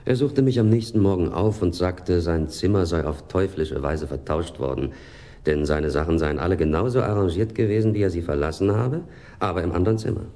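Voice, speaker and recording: An actor playing a polite, precise and exasperated hotel manager in a German radio crime drama, courteous yet disdainful. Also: male voice, sits low, a little fast, warm tone, natural voice. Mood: precise, gossipy.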